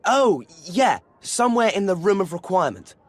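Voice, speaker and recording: Earnest British teen schoolboy wizard, high-pitched. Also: male voice, very animated, normal speed, no echo. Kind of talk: video game voice acting.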